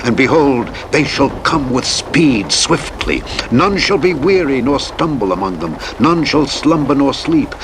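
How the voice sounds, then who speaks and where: A man reading scripture as a narrator in a solemn, measured, declamatory manner. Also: medium pitch, sing-song. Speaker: audiobook Bible narrator. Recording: engine and road noise over playback. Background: truck simulator vehicle noise.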